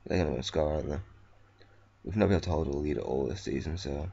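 Very deep gravelly man. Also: fast, mellow, highs cut off. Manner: rambling, swears, self-correcting. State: throat feels weird, monotone. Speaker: gameplay commentator. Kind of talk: casual let's-play commentary.

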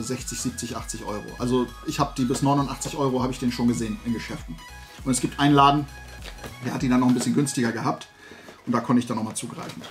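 Guy in his 40s, kinda high voice, rambly excited collector chat, faint music behind him. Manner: enthusiastic, rambling, conversational. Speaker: host of a toy collecting video show. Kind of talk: casual chat, showing a toy haul to viewers. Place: small room full of toy shelves, indoors.

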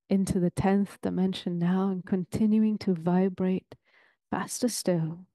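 A woman leading a guided meditation in a soothing, deliberate, ceremonial way, with long pauses between phrases. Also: medium pitch, warm tone, dry recording.

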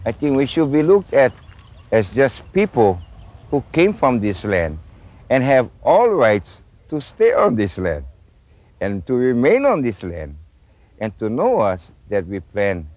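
A middle-aged man from Hawaii speaks in a low, mellow voice with a lively rise and fall. His talk is slow and earnest, with pauses between thoughts. The sound is dry and dull, like old lo-fi video tape. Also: no echo. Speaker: interviewee. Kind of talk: reflective documentary interview. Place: outdoors among trees and rocks.

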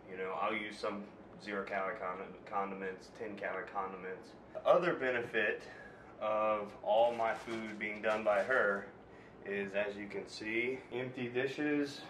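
An American man in his thirties vlogging casually indoors, pausing mid-sentence as he talks into a camera mic. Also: on the low side. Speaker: bodybuilder vlogger. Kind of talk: casual vlog talk to camera. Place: indoors, gym and home rooms. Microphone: camera mic at some distance. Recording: roomy, distant camera mic, clear background noise.

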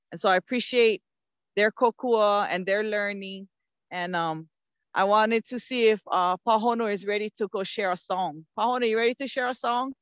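A woman's voice: medium pitch, dry recording. Hawaiian woman talking from an outdoor field. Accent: Hawaiian Pidgin-tinged English. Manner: earnest, frequent um fillers, slows to savor moments. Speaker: community speaker. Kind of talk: casual webinar talk. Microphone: phone held close on a video call. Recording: dry, slightly muffled video call audio.

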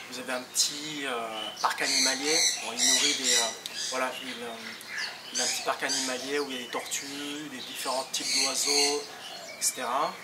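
A young man explains things in a casual vlog style. His mid-pitched voice moves haltingly as he searches for words. He sounds some way from the microphone, with loud noise around him. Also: distant mic. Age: young adult. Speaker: vlogger.

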